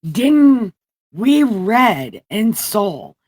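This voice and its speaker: A woman's voice: on the high side, sing-song, drawn-out words, darkish tone, slight background noise. A woman giving casual, blunt and irreverent commentary on a recording, speaking plainly and swearing freely. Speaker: commentator reacting to a recorded call.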